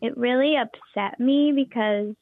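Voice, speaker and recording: A young woman with a high voice, a performer and teacher, talking in an interview with lots of hesitant fillers and restarts. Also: a little slow, no room sound. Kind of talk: interview conversation. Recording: tinny phone-like line, dry.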